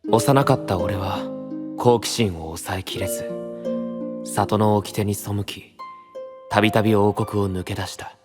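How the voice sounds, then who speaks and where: A man acts a sincere young knight from a hidden fantasy kingdom. His voice is low and takes its time, measured and troubled, with clear music playing behind it. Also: fairly even intonation, lightly acted. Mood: wistful, reflective. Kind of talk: Japanese fantasy voice drama with introspective narration.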